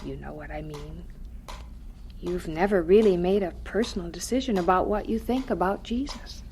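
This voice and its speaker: A woman playing a gentle, devout mother in a radio drama, speaking in a tender, soothing, earnest way. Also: fairly low pitch, moderate pace, slight background noise, lightly acted. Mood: soft, loving.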